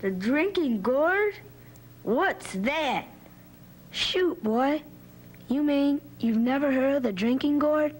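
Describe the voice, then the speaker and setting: A woman reading a storybook aloud, voicing a curious, eager young boy who asks excited questions. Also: sits high, slow, slight background noise, pitched above the performer's own voice.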